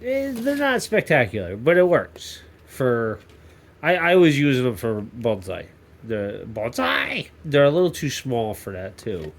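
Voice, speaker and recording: A man's voice: mid-range voice, wildly swooping pitch, a little slow. A man with a stuffy nose from a cold, rambling and joking as he hosts a workshop vlog, often cutting himself off mid-sentence. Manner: rambling, self-interrupting, jokey. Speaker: YouTube maker channel host. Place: home workshop.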